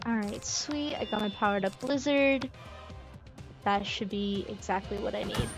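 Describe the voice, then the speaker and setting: A woman's voice: medium pitch, normal speed. A woman streamer giving casual, easygoing gameplay commentary, thinking aloud as she plays. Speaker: game streamer. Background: game music.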